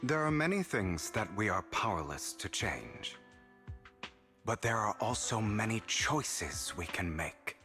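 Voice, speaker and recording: An actor playing a suave, theatrical male con artist in a game cutscene, smooth and dramatic, dropping to a whisper at times. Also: lowish voice, very animated, measured pace, bright, forceful tone, faint music behind, full character voice. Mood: scheming.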